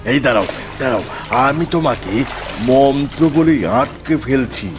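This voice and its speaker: A man acts out a wicked cartoon sorcerer who talks slowly and deliberately, with big swings in pitch. He sounds boastful, commanding and full of schemes, over faint music. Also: lively intonation, close to an everyday voice. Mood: agitated, commanding. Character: menacing male tantric sorcerer, scheming and boastful. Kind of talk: Bengali fairy-tale cartoon dubbing.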